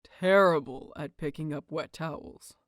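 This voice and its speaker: Woman voicing a low, terse, stoic man. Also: measured pace, no room sound, studio quality. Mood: deadpan teasing. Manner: terse, deadpan. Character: quiet, stoic, devoted husband, a man of few words.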